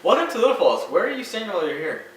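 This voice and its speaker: A young man plays news reporter in a scripted parody, talking quickly with lively pitch swings. The room is very echoey and he sounds off the mic. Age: young adult. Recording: very echoey room, distant, some noise.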